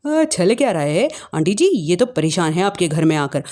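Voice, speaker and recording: A cocky young boyfriend, acted by a woman in a family story. He is brash, protective and taunting, with animated, varied intonation. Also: fairly low pitch, normal speed.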